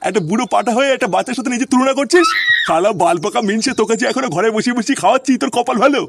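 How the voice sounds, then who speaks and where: A man's voice: very high voice, rapid-fire, creaky, very noisy. A man voicing a grumpy, foul-mouthed old father and grandfather in a comedy cartoon, scolding and complaining in an earthy way. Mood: scornful scolding.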